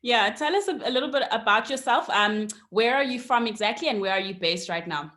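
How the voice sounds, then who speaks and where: A woman's voice: fairly high pitch, lively intonation, a little fast, fairly bright tone. A woman, hosting an online interview and welcoming her guest, with frequent ums along the way. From South Africa. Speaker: host.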